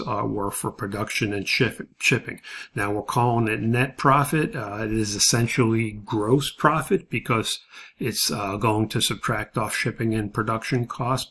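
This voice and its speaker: Low-pitched, monotone male instructor. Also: slight background noise. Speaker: college instructor. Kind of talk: informal lecture explaining assignment steps.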